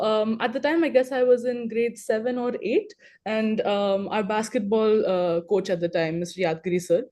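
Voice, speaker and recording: A woman's voice: mid-range voice, mellow. A young woman from Hyderabad whose first language is Telugu, talking with chatty confidence as a panelist on a video call. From Hyderabad, India. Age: 20s. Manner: chatty and confident, rambling with fillers. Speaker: alumni panelist. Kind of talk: conversational talk on a video call.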